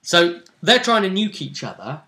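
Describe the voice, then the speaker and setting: A man retelling a tabletop roleplay session as game master, in animated, emphatic conversational storytelling. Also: sits high, normal speed.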